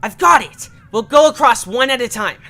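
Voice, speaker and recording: A woman's voice: on the high side, lively intonation, normal speed, fairly bright tone. A woman playing a bossy, cocky young cartoon creature who acts as leader in an amateur audio drama, brash and taunting.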